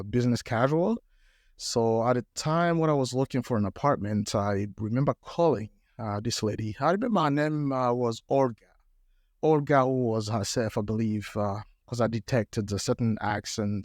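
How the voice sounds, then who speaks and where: A man's voice: medium pitch, dry recording, clean studio sound. A middle-aged man from Ethiopia, a panel guest telling a story with many hesitations and fillers. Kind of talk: storytelling in a live podcast panel. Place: live panel event.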